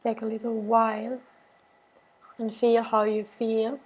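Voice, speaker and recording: Slow, even-voiced woman guiding meditation. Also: fairly high pitch, slightly rough, rough recording. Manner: calm, unhurried, measured phrasing with pauses. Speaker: meditation and yoga teacher. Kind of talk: guided meditation with repeated affirmations.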